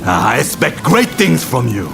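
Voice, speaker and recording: Boisterous samurai captain, swinging pitch. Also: male voice, wildly swooping pitch, measured pace, creaky, full character voice. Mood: sizing up. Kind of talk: video game cutscene acting. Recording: music behind the voice.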